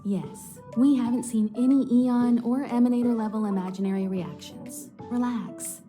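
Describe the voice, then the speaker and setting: A worldly woman mentor from a video game, played by an actress. She sounds composed and serenely amused, with a fairly high voice. Music plays clearly behind her. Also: moderate pace, dark tone, lightly acted. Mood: reassuring, relaxed. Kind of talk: English game voice acting, cutscene dialogue.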